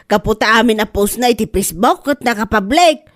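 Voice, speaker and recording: A high, indignant voice of a scolding mother acted by a woman in an Ilocano radio comedy. She is sharp-tongued and exclamatory, with an Ilocano Filipino accent. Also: animated, a little fast, natural voice. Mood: scolding, angry. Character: indignant, sharp-tongued mother defending herself, later a wife.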